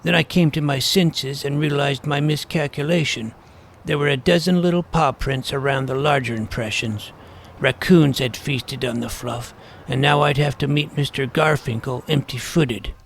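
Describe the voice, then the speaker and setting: Man as an earnest, creaky-voiced schoolboy detective. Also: mid-range voice, moderate pace, slight background noise, lightly acted. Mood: dramatic, wry. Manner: precocious, wordy, earnest, mock-serious. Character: earnest, eager schoolboy paranormal detective narrating his adventure. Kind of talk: first-person scripted audio drama narration.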